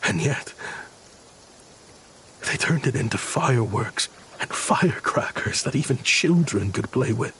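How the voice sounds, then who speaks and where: An actor playing a guilt-ridden weapons scientist in a video game, speaking in a solemn, measured way. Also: male voice, mid-range voice, lively intonation, a bit bright, a little hiss or hum, low-quality recording. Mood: remorseful.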